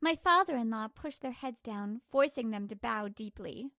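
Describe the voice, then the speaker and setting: Give me a woman narrator for a scripted first-person story, high in pitch, with a dry sound. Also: expressive, moderate pace, bright, forceful tone, no echo.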